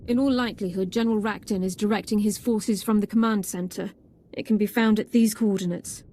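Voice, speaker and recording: A woman's voice: medium pitch, steady tone, fairly quick, mellow, slight background noise, natural voice. An actress playing an efficient female squad officer in a video game, reporting in a formal, businesslike manner. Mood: brisk, composed. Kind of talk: video game cutscene acting.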